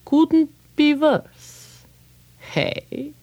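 A woman storyteller voicing an elderly male rabbi who asks drawn-out wry questions and bursts into sudden high exclamations. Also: highs cut off. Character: elderly male village rabbi, wise and teasing.

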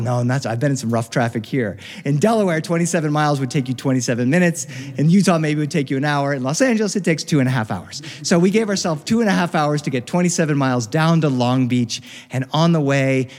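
A middle-aged man with an American accent tells a personal story to a live recording. His voice sits a little high, and he is expressive, leaning on dramatic pauses. A long reverb trails behind his words.

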